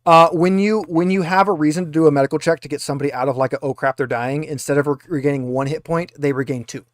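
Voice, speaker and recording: A man's voice: a little high, steady tone, fast, no echo, studio quality. A man acting as game master, casually narrating a tabletop game and explaining its rules to the players.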